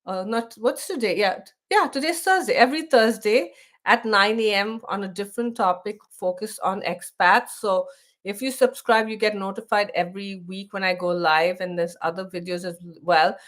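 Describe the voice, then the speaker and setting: A woman's voice: mid-range voice, moderate pace, fairly bright tone. Chatty Indian woman hosting a live stream. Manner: chatty, many restarts, reads text aloud. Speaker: host of a live stream for expats. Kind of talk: casual live stream chat.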